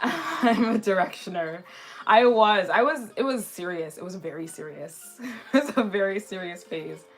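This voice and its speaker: A woman in her twenties chatting casually on a livestream in a rambling way, slightly raspy from a sore throat. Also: a little high, a bit bright. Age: 20s. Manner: chatty, rambling, frequently restarting sentences. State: mildly sick with a sore throat. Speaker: anime livestream host. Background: background music.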